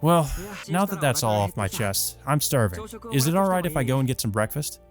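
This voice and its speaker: A man's voice: medium pitch, very animated, fairly quick, close to an everyday voice. A man voicing an earnest, apologetic teenage brother, the protagonist of a visual novel. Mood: casual. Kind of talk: visual novel character read-aloud. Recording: music behind the voice. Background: music.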